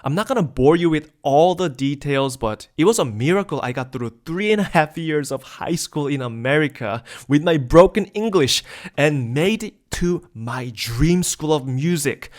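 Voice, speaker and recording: A man in his thirties from South Korea hosting a webinar, delivering an upbeat, persuasive scripted sales pitch. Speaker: webinar host.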